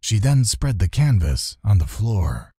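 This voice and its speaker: A man reading a children's fantasy audiobook as narrator, telling the story in a measured, scripted way. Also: on the low side, sing-song, mellow, husky, no room sound, studio quality.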